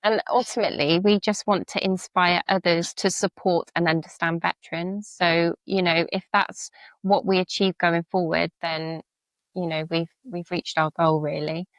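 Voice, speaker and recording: A woman's voice: on the low side, little pitch movement, normal speed, dry recording. A woman being interviewed on a podcast as a charity leader, speaking earnestly and reflectively with some filler words. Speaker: charity CEO and veteran, guest.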